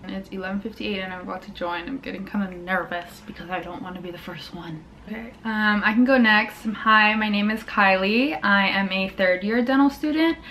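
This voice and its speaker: A woman's voice: medium pitch, brisk, sharp tone, a little hiss or hum. A woman in her twenties from Hawaii, a dental student chatting casually in her vlog with lots of fillers. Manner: chatty, upbeat, many fillers like "um" and "like". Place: home, indoors.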